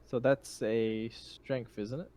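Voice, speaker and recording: A man's voice: medium pitch, steady tone, takes it slowly, mellow, a little hiss or hum. A man chatting casually as a tabletop roleplaying player, unsure and checking the rules with others. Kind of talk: casual game chat.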